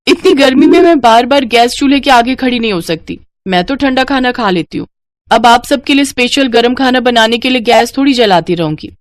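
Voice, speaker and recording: A woman's voice: on the high side, a little fast, no room sound, pitched above the performer's own voice, close to an everyday voice. A woman voicing a stubborn young daughter-in-law in a moral story, insistent and dismissive. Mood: defiant. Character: young stubborn daughter-in-law who loves cold food. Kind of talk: acted dialogue in a moral story.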